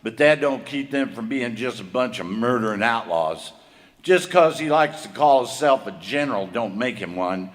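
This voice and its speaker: A man's voice: mid-range voice, lively intonation, moderate pace, natural voice. A man playing a folksy frontier train engineer. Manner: folksy Western drawl. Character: plain-spoken male train engineer and father. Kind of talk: stage reenactment of old-time radio drama. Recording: reverberant theater hall.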